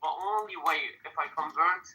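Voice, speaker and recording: A man's voice: fairly high pitch, normal speed, bright, forceful tone, rough recording. A man in a casual online debate, insistent and repeating his questions.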